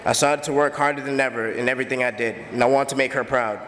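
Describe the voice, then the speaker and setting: A man in his early twenties from the Bahamas, earnestly telling his own story as a steady personal testimony. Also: medium pitch, quick pace, big-room sound. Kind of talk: personal testimony, read aloud.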